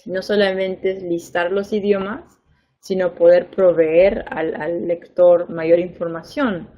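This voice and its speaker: A young woman education advisor, a native Spanish speaker, giving a webinar lesson in a clear, patient way, stressing points by repeating words. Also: on the low side, little pitch movement, slow pace, dark tone, dull audio. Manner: clear, patient explaining with fillers and repeated emphasis.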